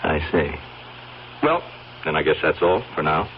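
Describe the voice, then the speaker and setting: Deep-voiced, folksy American police sergeant. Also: male voice, very animated, measured pace, heavily acted. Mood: casually probing. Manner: hesitant, seemingly casual questioning. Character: folksy, slyly probing male police sergeant. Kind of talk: old-time radio drama acting. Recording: dull, noisy old radio recording.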